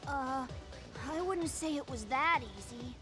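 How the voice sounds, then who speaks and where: High, earnest little boy fox. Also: measured pace, over loud music. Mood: doubtful. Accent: American.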